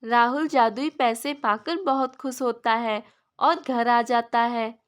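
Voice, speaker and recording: A woman narrates a children's story from a script. Her voice is somewhat high in pitch, and the delivery is that of a storyteller for cartoon tales. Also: fairly even intonation, slow pace, smooth.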